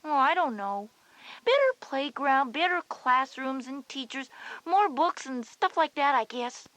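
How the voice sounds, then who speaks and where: A woman's voice: high voice, very animated, unhurried, a bit bright, no room sound, full character voice, poor audio. A woman playing a confident schoolgirl big sister who explains things reassuringly in a scripted children's audio drama. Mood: matter-of-fact explaining.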